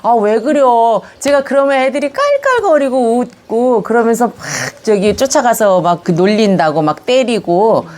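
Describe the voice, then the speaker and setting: An older Korean woman with a slight Chungcheong accent, calmly telling her life story in an interview. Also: a little high, moderate pace, few pauses. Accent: Chungcheong-tinged Korean. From Korea, Yongin area of Gyeonggi. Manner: calm, reminiscing, gently animated when recalling childhood. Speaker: elderly interviewee recording a video autobiography.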